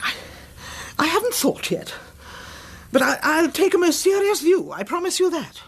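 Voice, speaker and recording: Imperious wife with wildly swooping pitch. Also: female voice, sing-song, moderate pace, gravelly, full character voice. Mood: indignant. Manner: imperious, exasperated. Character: imperious, nagging, genteel English barrister's wife. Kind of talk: radio drama dialogue.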